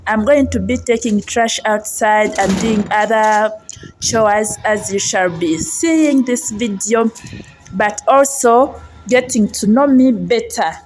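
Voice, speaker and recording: A woman's voice: medium pitch, slow, soft background music. A woman from Uganda with a Ugandan accent talks to viewers in a chatty personal vlog, often repeating phrases for emphasis. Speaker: vlogger and housemaid. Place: outdoors on a residential street. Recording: noisy outdoor ambient sound. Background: outdoor ambience noise.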